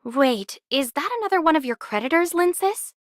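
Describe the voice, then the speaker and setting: A woman's voice: high-pitched, animated, normal speed, no echo, clean studio sound. A woman voicing a curious female bystander in an anime video game, speaking in an inquisitive way. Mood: curious, wary.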